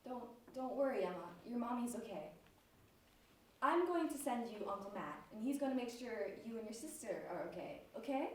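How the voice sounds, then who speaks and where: A woman's voice: on the high side, lively intonation, normal speed, darkish tone, natural voice. A woman playing a worried friend, very echoey. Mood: gentle, reassuring. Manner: earnest, reassuring, insistent. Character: caring, worried woman, loyal best friend. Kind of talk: amateur stage play acting. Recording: very echoey stage, distant mic, faint noise.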